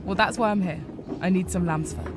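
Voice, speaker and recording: A woman's voice: on the low side, a little fast, background noise, natural voice. A woman voicing an earnest young female rabbit apprentice healer in a fantasy game, polite and restrained. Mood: earnest, worried. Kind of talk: visual novel voice acting.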